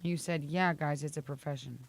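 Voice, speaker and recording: A young woman co-hosts a morning radio show with a voice gone slightly raspy. She teases and fires back quick retorts in casual banter. The studio sound is dry and close. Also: low-pitched, steady tone, dry recording. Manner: playful, teasing, quick retorts. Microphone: studio microphone.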